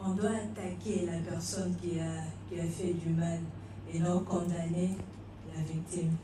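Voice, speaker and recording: A woman making hesitant, pausing remarks at a public discussion. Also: on the low side, level tone. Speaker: event participant. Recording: echoey hall, distant mic, loud noise.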